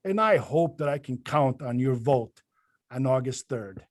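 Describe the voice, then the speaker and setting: A man's voice: medium pitch, lively intonation, unhurried, no room sound, clean studio sound. A man running for mayor, giving a rhetorical campaign speech in short, emphatic, repeated phrases. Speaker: mayoral candidate giving closing statement.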